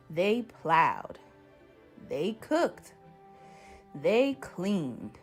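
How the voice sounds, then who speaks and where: A woman narrates a children's picture book with expressive phrasing. She takes her time, and her fairly high voice rises and falls with lively variety. Faint music plays behind her. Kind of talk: scripted storybook narration.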